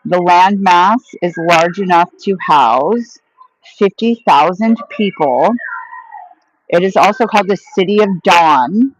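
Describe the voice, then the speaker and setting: A woman's voice: lowish voice, takes it slowly, muffled recording, slight background noise. A woman chatting casually on a live stream, answering viewer questions with a rambling, friendly flow. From United States. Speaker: vlogger answering live chat.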